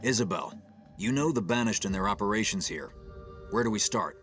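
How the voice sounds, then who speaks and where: An actor playing a decisive, steady military captain in a video game, giving firm, businesslike orders. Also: male voice, lowish voice, brisk. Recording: music playing behind the voice.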